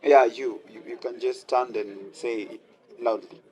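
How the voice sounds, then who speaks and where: A man's voice: medium pitch, fairly even intonation, a little slow, darkish tone, lightly acted, rough recording. A man playing a friendly doctor and counselor in a radio drama, engaging listeners as an interactive guest speaker. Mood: encouraging, interactive. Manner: engaging the audience with questions and thanks. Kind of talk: radio drama motivational talk.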